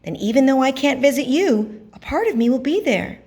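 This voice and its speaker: A woman voicing a lonely, hopeful female Moon in a storybook, eagerly calling out to visitors with drawn-out, sing-song exclamations. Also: high-pitched, expressive, darkish tone, slightly rough, voice pushed up, full character voice. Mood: warm, welcoming.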